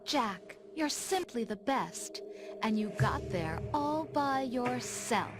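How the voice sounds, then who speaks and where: A woman playing a cool, taunting artificial intelligence in a video game cutscene, speaking in a deliberate, detached way. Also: on the high side, animated. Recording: music behind the voice. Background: music.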